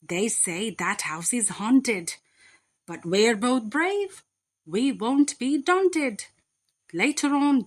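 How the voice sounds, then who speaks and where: A woman voicing a thin male thief, boastful, whiny and easily spooked, in a storybook read-aloud. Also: mid-range voice. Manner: scheming, whining.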